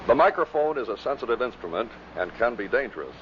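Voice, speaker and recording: A man's voice: mid-range voice, big pitch swings, quick pace. A man reading scripted narration as the presenter of a compilation of radio clips. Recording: dull vintage recording with faint noise.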